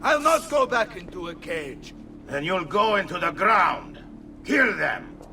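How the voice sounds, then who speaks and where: An actor playing a bold, hot-blooded Italian mercenary captain in a video game, with an Italian accent and blustery, dramatic delivery. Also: male voice, high-pitched, sing-song, measured pace, pushed and bright, slight background noise, full character voice. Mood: defiant, roused. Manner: blustery, dramatic, swinging in emphasis. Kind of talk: video game cutscene acting. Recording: long reverb, music behind.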